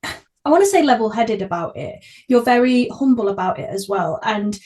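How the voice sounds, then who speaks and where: A woman's voice: on the high side, lively intonation, normal speed, a little mellow. A woman hosting a podcast, talking in a casual, conversational way. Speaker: podcast host.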